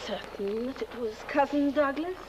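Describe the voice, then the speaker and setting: An actress playing a genteel, probing lady of the house in a comic film, formal and measured. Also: high-pitched, slight background noise, close to an everyday voice, low-quality recording.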